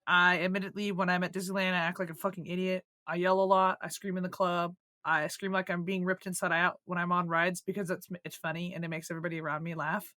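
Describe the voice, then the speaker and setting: A hoarse, croaky woman co-hosting a casual podcast, swearing and joking loosely. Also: lowish voice, level tone, fast talker, hardly any pauses, bright, forceful tone, dry recording, clean studio sound. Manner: swearing, joking, laughing often. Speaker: podcast co-host.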